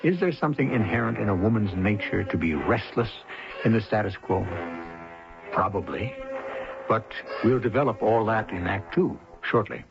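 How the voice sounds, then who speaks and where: A man's voice: lowish voice, animated, normal speed, gravelly, music in the background. A man hosting a radio drama with scripted narration in a wry, rhetorical, literary manner. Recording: dull old radio recording.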